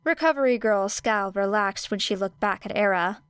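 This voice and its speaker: A woman's voice: medium pitch, moderate pace, a bit bright, clear, resonant tone, dry recording. A woman reading scripted story narration as an audiobook narrator.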